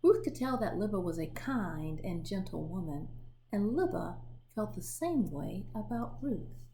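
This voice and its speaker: A woman reading a children's picture book aloud in an expressive storytelling manner, pausing to show the pictures. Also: medium pitch, creaky, a little hiss or hum. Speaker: storybook reader.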